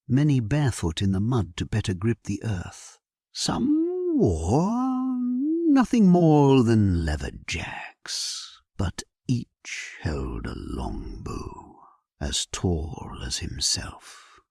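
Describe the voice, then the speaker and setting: A man playing a solemn, resolute English warrior king, narrating his battle in a measured, stately way for an audio drama. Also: deep voice, a little mellow, breathy edge, dry recording, studio quality, full character voice. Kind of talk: dramatic scripted first-person narration, sleep story.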